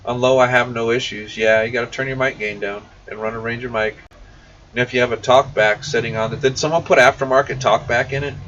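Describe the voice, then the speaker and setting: A man's voice: medium pitch, fairly even intonation, a little fast, fairly bright tone. A man hosting a casual shop livestream, reading and answering viewer comments in a folksy, informal way. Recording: muffled, distant mic with faint noise.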